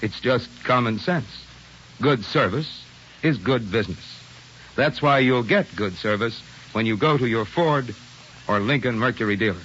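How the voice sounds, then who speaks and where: A man delivers an old radio commercial in a measured, persuasive announcer style. His voice is mid-pitched, on a dull recording with faint hiss. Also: fairly bright tone. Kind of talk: scripted 1940s radio advertisement.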